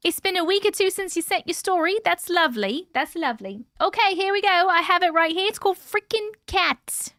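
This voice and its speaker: Woman livestream host, high bright voice, dry close sound, gushing and chatty with her chat. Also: brisk, hardly any pauses, dry recording. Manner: chatty, effusive, talks to chat viewers. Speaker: livestream host reading viewer stories. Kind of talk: casual live chat and reading aloud. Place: at home with her cat.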